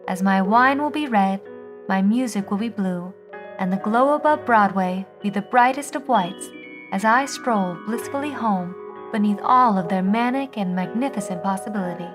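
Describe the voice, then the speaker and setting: An actress playing a sardonic, world-weary 1950s switchboard operator, delivering a deliberate, theatrical monologue. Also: medium pitch, over music, natural voice. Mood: weary, wistful. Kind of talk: scripted dramatic monologue.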